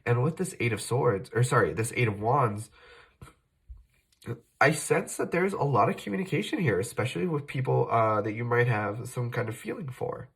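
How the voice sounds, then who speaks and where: A man's voice: mid-range voice, big pitch swings, normal speed, fairly bright tone. A man with a slight cold speaks in a mid-range voice as he walks viewers through a tarot reading. His delivery is loose and conversational, full of "uh" and "like," and he often catches and corrects himself mid-sentence. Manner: conversational, self-correcting, many fillers. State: fighting off a cold, occasional cough. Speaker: tarot reader, channel host. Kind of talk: casual tarot reading to camera.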